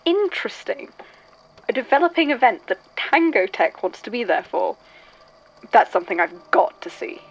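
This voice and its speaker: A woman's voice: on the high side, sing-song, normal speed, husky, lo-fi sound, heavily acted. A woman playing a sardonic, sceptical male archivist in an audio drama, with a dry, wry delivery. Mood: curious.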